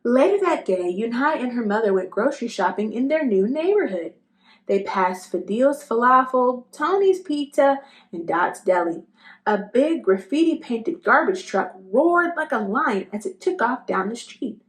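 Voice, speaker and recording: Woman reading a kids picture book, highish voice, super expressive up and down. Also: measured pace. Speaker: storybook reader. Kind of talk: expressive scripted children's story narration.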